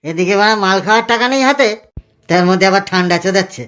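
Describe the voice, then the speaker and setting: A man's voice: high-pitched, rapid-fire, natural voice. A man voicing a hot-tempered, foul-mouthed young village man in a comedy cartoon, brash and crude. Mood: irritated, ranting. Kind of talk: exaggerated comedy cartoon dialogue. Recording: clearly noisy recording.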